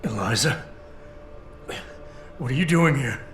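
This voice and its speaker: A guarded male cyborg security officer in a video game. He speaks in gruff, terse, defiant lines at a middle pitch, with music clearly behind him. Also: lively intonation, takes it slowly, a bit bright.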